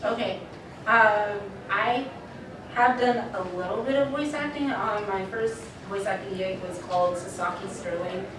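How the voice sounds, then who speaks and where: A woman's voice: medium pitch, measured pace. A woman talking casually as a panelist at a convention. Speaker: panelist, occasional voice actor. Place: convention panel room, recorded from afar. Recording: echoey noisy room, distant microphone.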